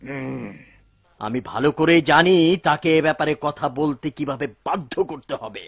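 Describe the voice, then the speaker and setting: A man playing a greedy, scheming, bad-tempered husband in a dubbed cartoon, demanding and sly, with a husky voice. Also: a little high, moderate pace, fairly bright tone, full character voice.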